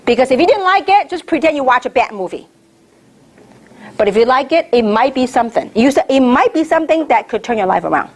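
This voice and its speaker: High-pitched woman from hong kong, middle-aged, pumping up a room of sellers, lots of "guess what?". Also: very animated, quick pace. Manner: emphatic, repetitive, rhetorical questions to the audience. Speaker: network marketing leader. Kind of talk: motivational training talk.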